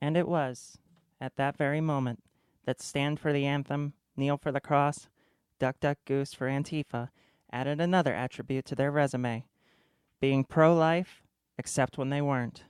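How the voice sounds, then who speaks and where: A man playing a solemn, deadpan storyteller who narrates a comic mock-epic radio drama in a measured way. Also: medium pitch, clean tone, no room sound, studio quality, close to an everyday voice.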